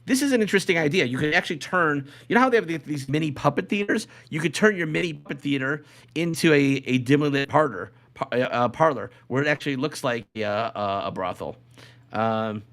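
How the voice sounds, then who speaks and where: A man's voice: fairly high pitch, animated, brisk, a bit bright. A middle-aged man co-hosting a podcast in casual, rambling chat, circling back and repeating his phrases. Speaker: podcast co-host.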